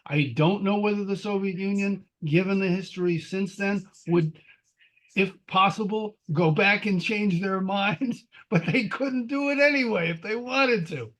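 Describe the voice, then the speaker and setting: A man speaking off the cuff in a political discussion, deliberate and halting as he insists on his point. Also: sits high, a bit bright. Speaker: discussion participant.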